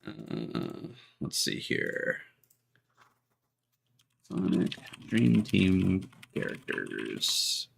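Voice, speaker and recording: A man giving casual live game commentary, rambling and thinking aloud with halting, mid-sentence pauses. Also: low voice, wildly swooping pitch, gravelly, fairly bright tone, breathy edge. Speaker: game streamer. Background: video game music.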